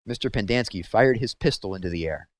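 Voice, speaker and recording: A man's voice: fairly low pitch, lively intonation, fairly quick, no echo. A man reading a children's novel aloud as storybook narration.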